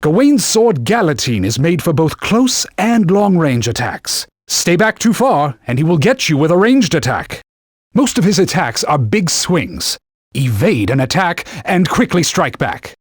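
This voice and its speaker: A man's voice: a little high, sing-song, measured pace, no echo, studio quality. An actor voicing a stern, haughty talking sword in a video game, giving combat orders and scolding his partner as a fool. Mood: instructive. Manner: commanding, gives combat tips.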